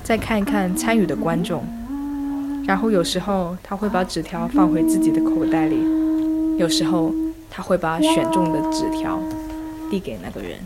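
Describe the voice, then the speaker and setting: A woman's voice: medium pitch, expressive, normal speed. A woman hosting an arts podcast, delivering scripted first-person narration. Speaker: podcast host and arts reviewer.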